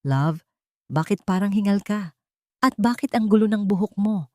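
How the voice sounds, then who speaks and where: A woman voicing a young male architect who speaks calmly and steadily while hiding his anger. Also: mid-range voice, moderate pace, dry recording, studio quality, voice pushed up, close to an everyday voice.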